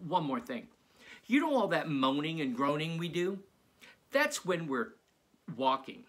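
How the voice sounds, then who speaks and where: A man's voice: fairly high pitch, big pitch swings, measured pace, a bit bright, heavily acted. A man voicing a friendly, chatty second-grade vegetarian zombie boy in a confiding storybook narration.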